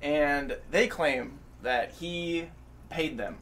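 A man's voice: a little high, drawn-out words, a bit bright. A man in his twenties telling stories in a casual, laid-back, profane way as a podcast co-host. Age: 20s. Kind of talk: casual storytelling chat. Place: furnished home room, indoors. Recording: faint room noise.

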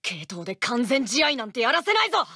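A woman's voice: a little high, big pitch swings, a little fast, pushed and bright, dry recording, clean studio sound, faint music behind, full character voice. A woman voicing an earnest, fired-up teenage boy who catches and captains a baseball team in a game. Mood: determined.

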